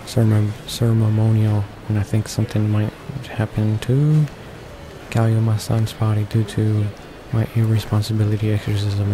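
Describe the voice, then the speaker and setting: A man reading visual novel text aloud for a gaming video, halting now and then with stumbles and repeated words. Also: on the low side, background noise. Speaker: let's play host reading along. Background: game music.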